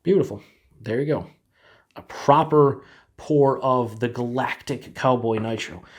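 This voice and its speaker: A man's voice: medium pitch, measured pace. A man with a stuffed-up head cold giving a laid-back, rambling beer review to camera, full of fillers. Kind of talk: casual solo review to camera.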